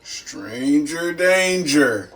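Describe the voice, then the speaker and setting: A man's voice: fairly high pitch, animated, drawn-out words, a bit bright. A man hosting a reaction show in casual chat, recorded a little away from the mic. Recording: slightly distant mic, faint noise.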